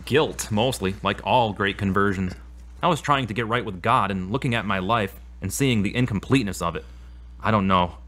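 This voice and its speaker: A man's voice: lowish voice, moderate pace, fairly bright tone, lightly acted. A man acting as a troubled, self-doubting therapy patient in a scripted audio drama, speaking in an earnest, confessional way. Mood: earnest, guilty. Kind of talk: scripted audio drama dialogue and spoken prayer.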